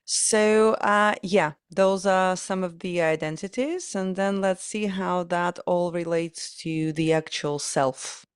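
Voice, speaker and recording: Low-pitched Ukrainian woman with dry close sound. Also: fairly even intonation, slow, few pauses, clear, resonant tone, no echo. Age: 40s. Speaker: podcast guest, pianist and performance coach. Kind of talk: reflective conversational interview.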